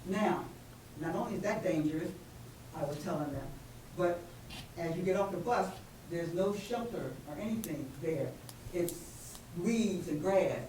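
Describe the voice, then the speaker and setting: A woman with a booming voice giving informal, rambling public comment as a resident, without using the microphone. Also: animated, unhurried, dark tone. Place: public meeting room, off the microphone. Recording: noisy echoey room, far from microphone.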